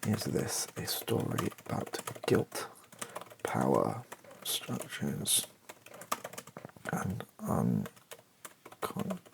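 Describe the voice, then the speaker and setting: British male, deep creaky voice, rambling ASMR writing session, typing behind. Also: wildly swooping pitch, background noise. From Britain. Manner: rambling, self-deprecating, halting as he thinks. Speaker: YouTuber, ASMR video creator. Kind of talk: improvised ASMR writing session, thinking aloud. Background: computer keyboard typing and clicks.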